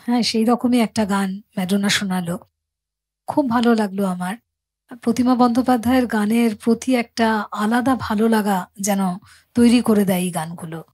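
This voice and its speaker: A woman with a slightly husky voice, chatting casually as the host of a music show. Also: mid-range voice, normal speed, darkish tone. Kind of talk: casual, conversational interviewing.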